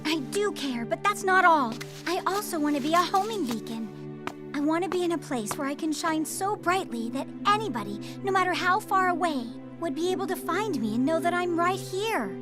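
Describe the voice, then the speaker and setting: A woman's voice: very high voice, expressive, normal speed, music in the background. A woman voicing an earnest, brave teenage schoolgirl in an English anime dub, speaking sincerely and emphatically. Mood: earnest, vulnerable. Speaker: voice actor.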